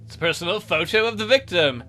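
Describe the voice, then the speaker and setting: A man's voice: high voice, animated, fast, music in the background. A man giving casual game commentary as a let's play host, full of wry, sarcastic asides.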